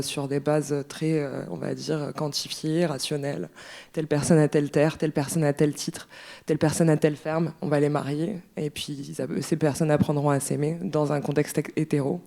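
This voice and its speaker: A woman in the audience at a library book talk, giving a thoughtful, hesitant comment with many pauses and fillers. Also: deep voice, dark tone. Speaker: audience member. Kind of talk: spontaneous spoken comment. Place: library event room.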